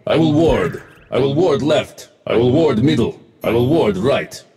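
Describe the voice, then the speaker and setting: A man's voice: medium pitch, lively intonation, measured pace, mellow, close to an everyday voice. A man voicing a smug, wisecracking futuristic mech-suit warrior for a video game, in punchy, boastful lines. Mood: confident. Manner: boastful, punchy, clipped callouts. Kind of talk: video game voice pack barks.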